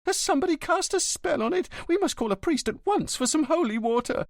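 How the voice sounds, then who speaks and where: A man's voice: very high-pitched, expressive, brisk, no echo, studio quality, voice pushed up. A man voicing a frantic, gossipy, melodramatic old woman concierge in an audiobook. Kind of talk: audiobook character voice.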